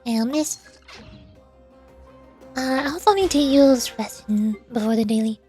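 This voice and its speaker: A woman's voice: fairly high pitch, a little slow, dark tone, soft background music. A woman livestreaming a video game, chatting in a playful, cutesy way and dropping in little sound words. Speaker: VTuber game streamer. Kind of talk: livestream gameplay chat with voiced-aloud dialogue.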